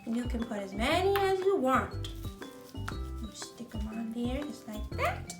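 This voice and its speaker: Roomy, distant recording; female children's presenter, slow, simple and encouraging. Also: on the high side, big pitch swings. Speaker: children's activity presenter and read-aloud host. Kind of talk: instructional talk and read-aloud for young children. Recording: roomy, distant mic, music behind.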